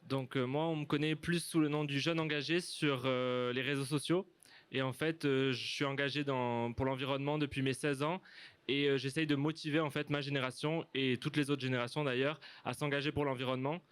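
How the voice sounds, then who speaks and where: A young man introducing himself as an activist guest on a live panel, in a halting way. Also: medium pitch, steady tone, clean tone, no echo. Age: young adult.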